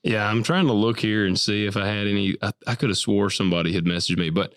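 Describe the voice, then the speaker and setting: A man and farmer co-hosting a casual podcast, sick with a cough and a deeper voice than usual, blunt and joking. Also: steady tone, fast talker, fairly bright tone, smooth, no echo, studio quality. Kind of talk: casual chat.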